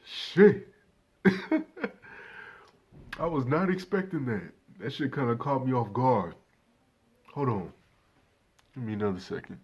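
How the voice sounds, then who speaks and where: A man's voice: mid-range voice, lively intonation, slow pace, long pauses, a little mellow, husky. A nineteen-year-old man doing casual reaction commentary, laid-back and swearing freely, talking straight to his viewers without a proper microphone. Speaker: reaction channel host.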